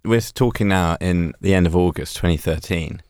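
Deep voiced radio guy asking questions easygoing, dry studio. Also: normal speed, a little mellow, clear, resonant tone, dry recording. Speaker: radio interviewer. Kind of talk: radio interview.

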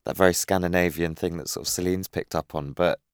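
A man interviews a guest in a lowish voice. He asks short, direct questions and murmurs agreement in between. The recording is dry. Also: steady tone, brisk, smooth, no echo. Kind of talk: conversational interview.

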